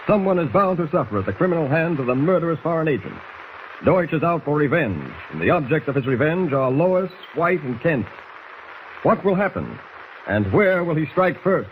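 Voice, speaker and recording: A man's voice: on the high side, very animated, moderate pace. A man narrating a 1940s radio serial in a theatrical, suspenseful way. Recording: muffled, slightly noisy 1940s radio recording.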